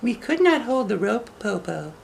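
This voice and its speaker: A man voicing a clever little girl in a storybook, in a sweetly pretending sing-song falsetto. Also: sing-song, moderate pace, vocal fry, a little hiss or hum, full character voice. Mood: feigned weakness. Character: clever eldest little Chinese girl coaxing a wolf. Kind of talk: storybook read aloud.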